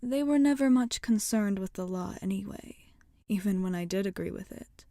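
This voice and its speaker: A woman voicing a wry, rebellious witch shopkeeper in a soft-spoken ASMR roleplay, often slipping into whispers. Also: mid-range voice, moderate pace, dry recording, studio quality, lightly acted. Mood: defiant, frank.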